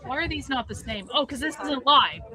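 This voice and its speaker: A woman's voice: sits high, expressive, fairly quick, heavy background noise. A woman in her forties hosting a livestream, reading viewer super chats and giving blunt, profane commentary. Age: 40s. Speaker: livestream host.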